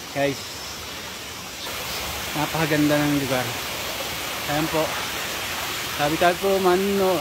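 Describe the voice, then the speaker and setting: Chatty hiking vlogger from the Philippines, a man in his thirties, talking to viewers on a handheld phone by a rushing waterfall. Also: fairly high pitch. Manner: chatty, addresses viewers as guys. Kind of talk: casual hiking vlog. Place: outdoors by a forest waterfall. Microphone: phone held at arm's length. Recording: hissy outdoor phone audio over waterfall.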